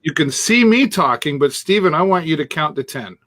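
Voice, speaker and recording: A man's voice: fairly high pitch, lively intonation, brisk, a bit bright. A man co-hosting a casual live-stream chat, explaining his tech setup step by step with chuckles and trailing sentences, on a Bluetooth earpiece. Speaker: co-host demonstrating streaming setup.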